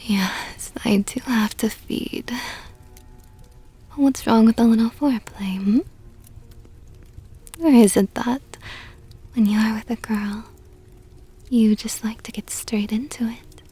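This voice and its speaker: Woman acting a breathy, whispering seductive vampire countess. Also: on the high side, steady tone, mellow, faint music behind, heavily acted. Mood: flirtatious, coaxing. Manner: slow, coaxing, mockingly sweet. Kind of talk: ASMR roleplay.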